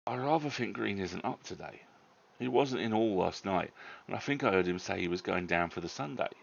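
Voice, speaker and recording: A man voicing a measured, observant college fellow in a storybook reading, deliberate, slightly hesitant and matter-of-fact. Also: low voice, animated, gravelly, highs cut off, heavily acted. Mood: thoughtful.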